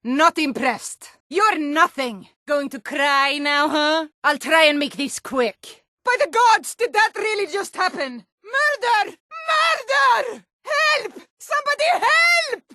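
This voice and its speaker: A woman's voice: very high voice, lively intonation, takes it slowly, hardly any pauses, bright, forceful tone, no echo, clean studio sound, exaggerated voice. A woman voicing a tough, blunt female Nord warrior guard in a fantasy video game, stern, taunting and combative. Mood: alarmed.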